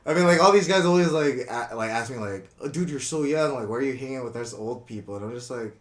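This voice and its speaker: A young man in his twenties who grew up partly in Indonesia talks loosely and chattily, circling back with fillers as he goes. His voice sits at an everyday middle pitch. The room around him rings with a noticeable echo. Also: fast talker. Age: 20s. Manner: relaxed, rambling, many fillers like "you know what I mean". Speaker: guest, brand co-founder. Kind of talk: casual podcast interview. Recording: echoey room.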